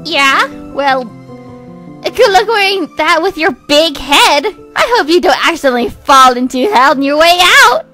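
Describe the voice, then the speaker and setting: A woman voicing a crude, grumpy male twin with a soft side in an amateur comic dub, sarcastic and hammy. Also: sits high, expressive, unhurried, soft background music, full character voice. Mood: defensive, irritated. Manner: sarcastic, blunt, sweary, hammy outbursts.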